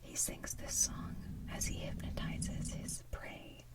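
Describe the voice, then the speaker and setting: A woman hosting ASMR, chatting casually in a hushed, breathy whisper with an unhurried, rambling manner. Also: recorded from a distance. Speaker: ASMR channel host.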